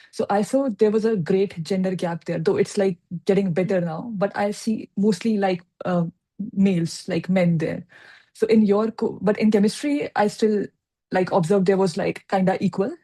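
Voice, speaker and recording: Mellow-voiced woman hosting an interview. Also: mid-range voice, low-quality recording. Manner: informal, many "like" fillers, short reactions. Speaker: host, interviewer. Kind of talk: casual interview chat.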